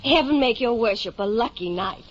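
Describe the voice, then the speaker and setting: A woman's voice: fairly high pitch, lively intonation, normal speed, natural voice. A woman playing a cheeky servant wench at an inn in an old-time radio drama. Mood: cheeky, obliging. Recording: dull, noisy old radio recording.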